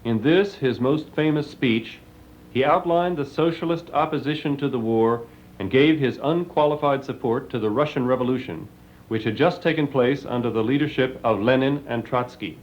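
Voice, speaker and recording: A man with a mid-range voice narrates historical background at a steady, explanatory pace. The recording sounds dull and lo-fi. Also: mid-range voice, moderate pace.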